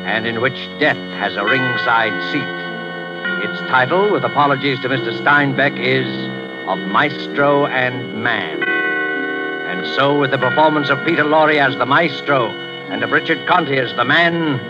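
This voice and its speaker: A man announcing a vintage radio drama, stately and dramatic. The old recording sounds dull and lo-fi, with loud music underneath. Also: mid-range voice, a little slow. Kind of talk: formal 1940s radio announcing.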